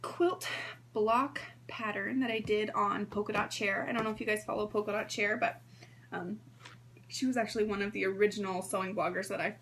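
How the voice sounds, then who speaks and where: A woman in her thirties hosting a sewing livestream in a hoarse voice, chatting casually and greeting viewers by name. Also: mid-range voice, steady tone, a little fast, fairly bright tone, a little hiss or hum. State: hoarse from strep throat.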